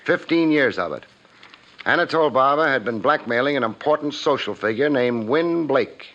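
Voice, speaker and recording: A man's voice: mid-range voice, expressive, moderate pace, fairly bright tone. A man playing a wry, hard-boiled private investigator in a 1950s radio drama, with a clipped tough-guy delivery. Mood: knowing. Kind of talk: 1950s radio detective drama acting and narration. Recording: muffled 1950s radio recording with music.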